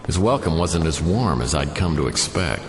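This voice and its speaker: Very deep, wry hardboiled detective. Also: male voice, normal speed, in a large hall. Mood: deadpan. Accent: American. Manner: deadpan, self-mocking. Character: wry, down-on-his-luck hardboiled male private detective. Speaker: lead character and narrator. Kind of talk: noir radio drama narration and dialogue. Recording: studio radio drama over music. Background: prominent music.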